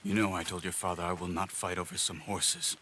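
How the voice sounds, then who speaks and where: An actor playing a calm, principled outlaw in a video game, measured and serious. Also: male voice, deep voice, slight background noise. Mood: firm. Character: calm, principled, cautious male outlaw tracker. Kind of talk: dramatic video game acting. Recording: loud outdoor ambience with animals.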